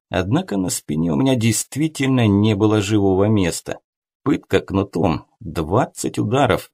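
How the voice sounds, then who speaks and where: A male audiobook narrator with a somewhat low-pitched voice reads prose fiction. The delivery is scripted storytelling, told in the first person. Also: vocal fry, darkish tone.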